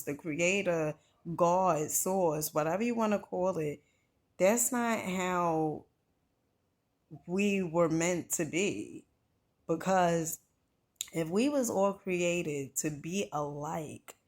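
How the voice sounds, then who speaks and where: A woman's voice: fairly low pitch, a little mellow. A middle-aged woman from New Jersey giving an earnest motivational talk, conversational and full of "you know" and "right?".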